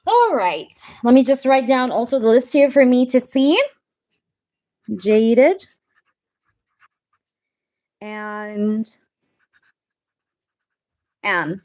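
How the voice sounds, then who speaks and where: A woman's voice: fairly high pitch, animated, very slow, long pauses, darkish tone. A woman doing live tarot readings, chatting casually and greeting viewers by name. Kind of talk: casual live-stream chat.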